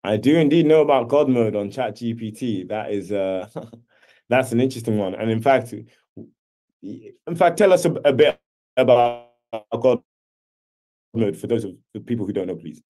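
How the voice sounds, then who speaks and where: A man's voice: mid-range voice, lively intonation, normal speed, dark tone. A man hosting an online class over a video call, explaining things casually and often checking in with "right?". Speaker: host teaching an online class. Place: video call with screen sharing.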